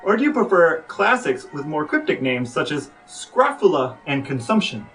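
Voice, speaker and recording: A man's voice: fairly high pitch, big pitch swings, moderate pace, fairly bright tone, soft background music. A man co-hosting a podcast, chatting casually and posing questions to the people with him. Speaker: podcast co-host.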